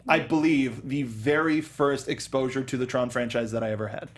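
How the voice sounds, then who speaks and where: A man in the middle of his natural pitch range, co-hosting a relaxed chat. He talks eagerly and rambles, restarting sentences and filling pauses with "um" and "uh.". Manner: enthusiastic, rambling with fillers and restarts. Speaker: podcast co-host. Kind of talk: casual chat.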